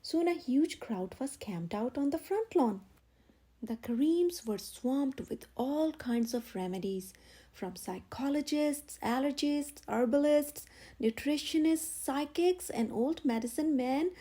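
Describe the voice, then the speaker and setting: A woman reading a children's picture book aloud as an expressive, dramatic storyteller. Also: high-pitched, unhurried.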